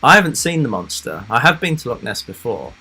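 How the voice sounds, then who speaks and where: A man hosting a casual live stream, chatting loosely to his viewers with lots of fillers, rambling asides and soft laughs. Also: medium pitch, very animated, fairly quick, slightly rough. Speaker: reaction livestream host.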